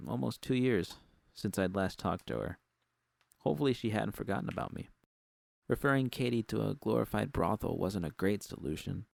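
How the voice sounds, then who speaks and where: A man narrates a first-person story in a very low voice with heavy vocal fry. The sound is close and dry, with no room around it. He reads as a scripted audiobook narrator. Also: a little mellow, no echo.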